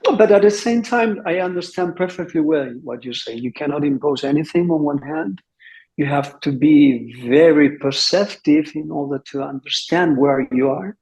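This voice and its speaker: A man's voice: fairly high pitch, moderate pace, darkish tone, rough recording. A man moderating a roundtable on a video call, engaged and affirming as he thinks aloud. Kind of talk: conversational discussion.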